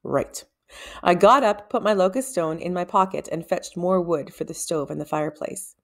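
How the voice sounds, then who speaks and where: Woman reading a storybook, lowish voice. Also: brisk. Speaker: read-aloud narrator. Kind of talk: expressive storybook read-aloud.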